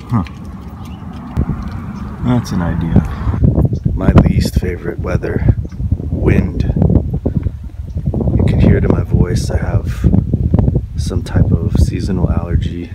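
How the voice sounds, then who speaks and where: A man's voice: low-pitched, flat delivery, takes it slowly, vocal fry, pauses often. A man with a stuffy nose and sore throat, talking in a rambling, frank way in a casual vlog from a parked van. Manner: rambling, frank, stop-start. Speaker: van life vlogger. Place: parked van outdoors, windy lot. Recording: outdoor wind and traffic noise.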